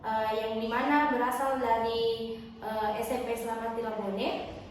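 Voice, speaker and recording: A woman's voice: fairly high pitch, level tone, measured pace. A young woman from Indonesia hosting a podcast interview in a lightly scripted style. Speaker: podcast host. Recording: echoey hall, distant mic, background noise.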